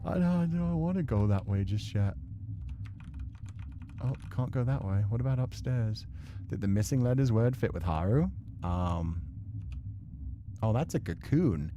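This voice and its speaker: A man's voice: medium pitch, expressive, a little slow, pauses often, mellow, lo-fi sound, slight background noise. A man doing casual let's play commentary, muttering sweary asides and reading bits of game text aloud to himself. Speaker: gaming streamer.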